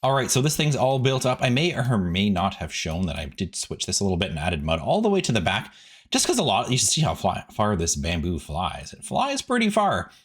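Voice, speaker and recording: Man with a very animated delivery. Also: on the low side, a little fast, husky. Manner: chatty, rambling asides to viewers. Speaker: gaming video host. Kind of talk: casual gameplay commentary.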